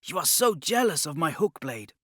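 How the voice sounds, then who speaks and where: An eager, teasing young thief in an audiobook , acted out by a male narrator. The voice is lifted high and swings freely, with a hushed urgency, in a close, dry recording. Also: very animated, moderate pace, dry recording. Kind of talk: audiobook character dialogue.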